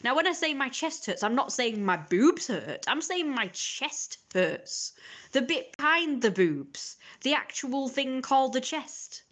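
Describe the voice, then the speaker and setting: A woman streamer ranting in casual live chat. She talks fast and exasperated, with mocking asides that quote other people. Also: high-pitched, very animated, fairly bright tone. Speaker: livestreamer.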